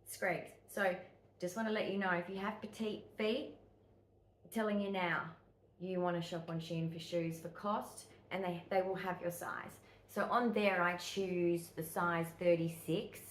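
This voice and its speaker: An Australian woman with a croaky voice, chatting casually and rambling to her vlog audience at home and in a car. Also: fairly low pitch, reverberant, slight background noise, low-quality recording. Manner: chatty, rambling, laughs often. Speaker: vlogger.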